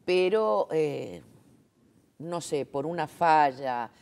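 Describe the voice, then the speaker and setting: A woman's voice: low voice, lively intonation, drawn-out words, vocal fry, a little mellow. A woman, interviewed as a sign language interpreter, explaining her views emphatically, with many fillers and rhetorical checks. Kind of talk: conversational interview.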